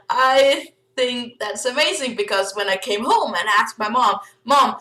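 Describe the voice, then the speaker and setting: A woman's voice: a little high, big pitch swings, slow pace, pushed and bright. A young woman from Denmark with a Danish accent, chatting casually in a vlog with lots of fillers and giggles. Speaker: vlogger. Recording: echoey room.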